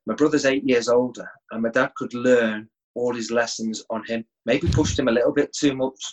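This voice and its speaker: A middle-aged man, chatting in a relaxed way as an interview guest from home over a video call. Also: mid-range voice, normal speed, low-quality recording. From England. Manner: relaxed, easygoing with chuckles and restarts. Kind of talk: casual interview chat.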